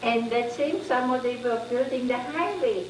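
A woman on a panel, a former lodge owner, telling a story in a measured way. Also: on the high side. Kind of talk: casual storytelling. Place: indoor panel room. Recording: echoey room from far off with background noise.

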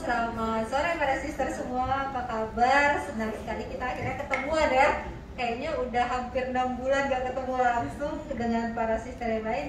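Friendly woman welcoming everyone, high voice, big echo, kinda noisy. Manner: friendly, laughing asides. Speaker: community co-founder giving welcome. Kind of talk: welcome speech. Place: clinic showroom, indoors. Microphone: handheld mic, far camera. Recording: echoey room, distant mic, noise.

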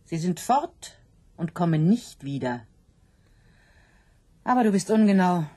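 An anxious, slow-speaking sow. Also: female voice, lowish voice, very animated, mellow. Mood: brooding. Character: anxious, doubting sow pondering her fate. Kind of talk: literary radio drama acting.

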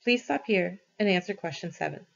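A woman's voice: lowish voice, brisk, creaky, muffled recording. A woman reading a story aloud expressively, as a teacher does for students.

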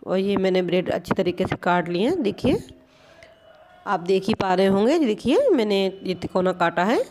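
A woman hosts a home cooking lesson, narrating each step patiently as she works at a gas stove. She talks in a plain, even, mid-pitched voice and keeps drawing attention to what is happening in the pan, recorded on a phone in a home kitchen. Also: moderate pace, a little hiss or hum. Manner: patient, explanatory, keeps pointing things out. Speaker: home cooking channel host.

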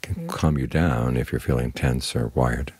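A man giving a short spoken meditation talk as a Buddhist teacher, calm, instructive and plain-spoken. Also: a bass voice, a little slow, slightly rough.

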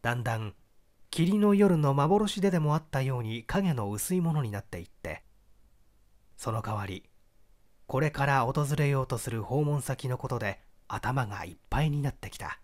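A man reading literary fiction as scripted audiobook narration in an expressive storytelling manner. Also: mid-range voice, moderate pace. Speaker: audiobook reader.

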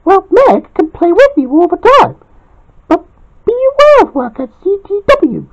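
A squeaky, very high cartoon schoolgirl. She is kind, eager to help and gives advice slowly. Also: big pitch swings, muffled recording, heavily acted. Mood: kindly advising.

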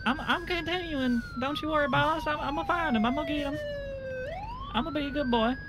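A timid, dim but eager Southern deputy for a comic game roleplay. He stammers apologies in a high, bouncy voice. Sirens and vehicle noise are clear in the background. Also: huge pitch swings, fast talker, heavily acted. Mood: eager, nervous. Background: police sirens, game vehicle noise.

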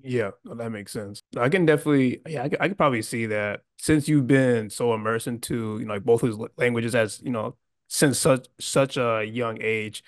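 Young adult male host, mid-pitched, dry close mic, easygoing interviewer on a video call. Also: moderate pace, no echo. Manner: easygoing, affirming, asks questions in loose restarts. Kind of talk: casual interview questions. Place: small room at home. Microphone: video call with microphone.

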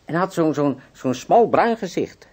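High-voiced, timid, hesitant clerk. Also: male voice, lively intonation, unhurried, loud music behind the voice. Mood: nervous, eager. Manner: hesitant, apologetic, stammering. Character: timid, deferential, nosy male office clerk. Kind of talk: radio play acting.

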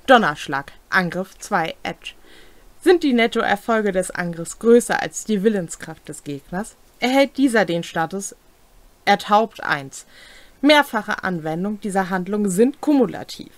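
A woman's voice: medium pitch, expressive, unhurried, dry recording. A young adult woman reads game rules aloud as a co-host, with brief comments of her own. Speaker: co-host of a tabletop role-playing rules series. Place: small furnished room at home, indoors.

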